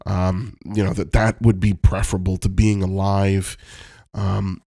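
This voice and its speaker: A man's voice: sits low, darkish tone, no room sound, clean studio sound. A man with a cold, sounding stuffed up, talking candidly and reflectively on his podcast with many ums and casual swearing. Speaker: podcast host. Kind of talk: personal reflective monologue. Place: Los Angeles home.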